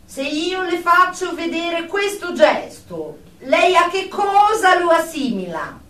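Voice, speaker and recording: An actress playing a brisk, clinical psychiatrist who speaks formally in pseudo-medical jargon, in a radio drama. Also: female voice, very high-pitched, big pitch swings, sharp tone, heavily acted. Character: brisk, clinical female psychiatrist leading group therapy. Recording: roomy, distant mic with faint noise.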